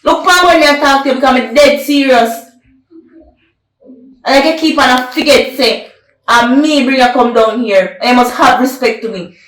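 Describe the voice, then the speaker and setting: A woman's voice: medium pitch, moderate pace, close to an everyday voice. A woman playing a bossy, possessive young woman who scolds and lays down the law. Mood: scolding. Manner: scolding, domineering. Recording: roomy, distant from the mic.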